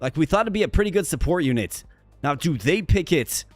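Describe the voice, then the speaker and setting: Brief: upbeat male game streamer, slightly high voice, worn-sounding, occasional stutter, soft music behind. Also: lively intonation, fairly quick, no room sound. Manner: upbeat, chatty, addresses viewers directly; stutters sometimes, repeats words. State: strained, worn voice. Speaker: gaming streamer. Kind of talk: casual live-stream commentary.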